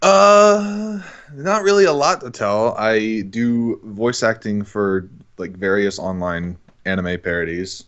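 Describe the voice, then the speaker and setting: A young man with a fairly low voice, speaking as a guest in a casual interview. He rambles a little, pausing and hedging as he goes, and sounds amused by himself. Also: fairly bright tone, highs cut off. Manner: hesitant, rambling, self-amused with frequent chuckles. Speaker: interview guest, online voice actor. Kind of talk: casual chat.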